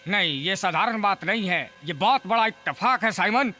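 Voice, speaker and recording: An actor voicing a bossy, distrustful, impatient inventor in a Hindi cartoon dub. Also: male voice, high voice, animated, normal speed, pushed and bright. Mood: alarmed. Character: bossy, distrustful male inventor giving orders to his assistant.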